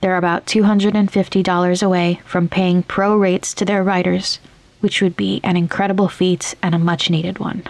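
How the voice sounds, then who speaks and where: A woman hosting a podcast, reading scripted announcements and credits in a measured, clearly enunciated way. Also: lowish voice, flat delivery, no room sound. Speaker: podcast host.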